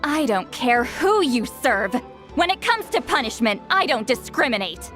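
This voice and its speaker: An actress playing a proud, sharp-tongued shaman in a video game, imperious and menacing in manner. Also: high-pitched, big pitch swings, unhurried, faint music behind, full character voice. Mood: cool menace. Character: proud, sharp-tongued, long-lived shaman woman with youthful voice.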